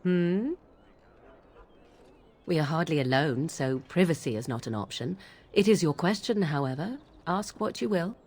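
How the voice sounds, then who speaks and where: A woman playing an aloof, sly, sardonic fantasy character in a video game, husky and creaky, with an archly formal, teasing manner. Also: low voice, lively intonation, measured pace, pauses often, a little mellow, heavily acted. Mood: guarded.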